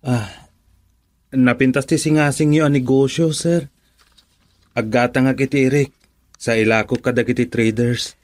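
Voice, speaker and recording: A man's voice: mid-range voice, steady tone, a little slow, pauses often, darkish tone, no room sound, close to an everyday voice. An actor playing a humble, hesitant farmer and father in an Ilocano radio drama.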